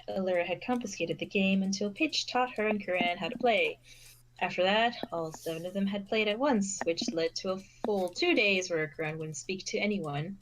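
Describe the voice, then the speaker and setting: A woman's voice: medium pitch, a little hiss or hum, poor audio. A woman giving scripted narration as the narrator of an amateur fan fiction reading.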